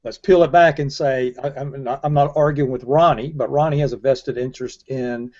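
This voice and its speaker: A man's voice: medium pitch, steady tone, brisk, a little mellow. An older man speaking informally as a panelist on a video call. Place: indoor room on a video call. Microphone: earbud headset. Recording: dull lo-fi video call audio.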